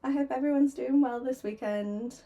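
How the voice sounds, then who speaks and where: A woman's voice: sits high, normal speed, mellow, soft background music. Chatty woman with sore throat. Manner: chatty, giggly, a little nervous. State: sore throat, slightly hoarse. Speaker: artist streaming a painting session. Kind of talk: casual livestream chat. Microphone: desk microphone.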